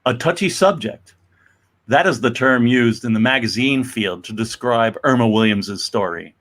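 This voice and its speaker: A man's voice: mid-range voice, moderate pace, a bit bright, lightly acted. A man playing a solemn, earnest 1950s comic-book editor introducing a story in dramatic scripted narration.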